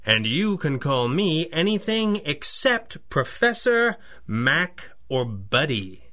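An actor playing a wealthy young 1930s gentleman in a radio drama, formal and polite with a dry wit. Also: on the high side, sing-song, slow, heavily acted. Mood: flustered, earnest. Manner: formal, courteous, dryly witty.

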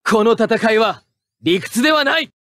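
High-pitched, hot-headed young mecha pilot. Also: male voice, animated, measured pace, dry recording, studio quality, full character voice. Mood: resolute. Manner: forceful, cocky shouting of short attack calls. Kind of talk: anime fighting-game battle barks.

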